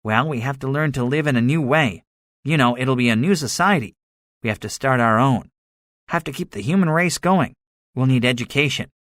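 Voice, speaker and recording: A man's voice: medium pitch, normal speed, fairly bright tone, dry recording, studio quality, voice pushed up, natural voice. A man voicing a cynical, boastful soldier survivor in a dramatized story, speaking emphatically with a swaggering manner. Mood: boastful, scheming. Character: cynical, boastful male soldier, excitable survivor with grand schemes. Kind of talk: dramatized audiobook dialogue.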